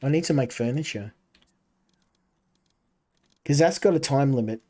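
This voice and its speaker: A man's voice: mid-range voice, a little slow, dark tone. A man streaming a game, chatting casually and rambling while talking to his chat. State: coming down with a cold.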